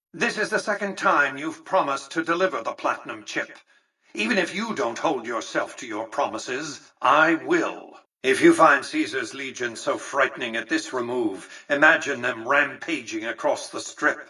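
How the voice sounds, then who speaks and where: An actor playing an imperious, calculating old tycoon in a video game, speaking formally and precisely with pompous condescension. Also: male voice, mid-range voice, sharp tone, slightly rough, distant mic, full character voice. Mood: stern, condescending. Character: imperious, calculating, centuries-old male tycoon ruling a futuristic Vegas. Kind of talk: scripted video game character dialogue.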